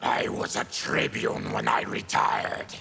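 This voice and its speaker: An actor playing a fierce, proud, embittered mutant warrior in a fighting game, growling and deliberate. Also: male voice, bright, forceful tone. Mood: grim, weary. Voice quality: gravelly, growling. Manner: menacing, deliberate. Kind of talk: fighting game character dialogue.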